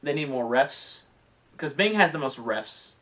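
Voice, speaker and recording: Medium-pitched man in casual conversation. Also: lively intonation, unhurried, a bit bright. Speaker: podcast co-host. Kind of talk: casual, conversational hobby chat.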